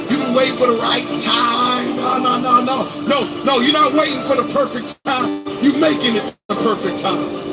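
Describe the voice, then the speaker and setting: High, fiery man giving a pep talk. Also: poor audio. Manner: intense, repetitive, building to shouts. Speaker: motivational speaker. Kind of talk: motivational speech to students. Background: music.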